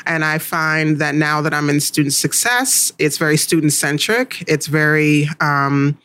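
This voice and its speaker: A woman's voice: sits low, a little slow, a bit bright, clean tone. Enthusiastic woman staffer, chatty. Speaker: student success staff. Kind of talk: casual interview.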